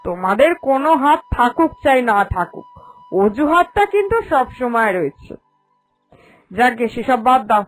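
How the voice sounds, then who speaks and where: Woman acting as old mother-in-law, cartoon, high voice, stern, commanding, scolding, music behind. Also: sing-song, moderate pace, poor audio. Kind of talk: animated cartoon dialogue.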